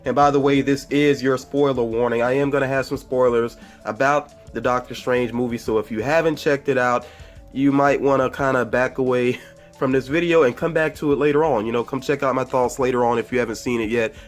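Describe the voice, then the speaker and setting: A man with a stuffy, congested voice from a cold talks through a casual film review at a middling pitch. He rambles easily, backtracks and corrects himself mid-sentence. Soft music plays faintly behind him. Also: fairly even intonation, a little fast. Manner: rambling and chatty, with frequent fillers and self-corrections. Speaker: movie review vlogger. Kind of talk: casual spoiler movie review.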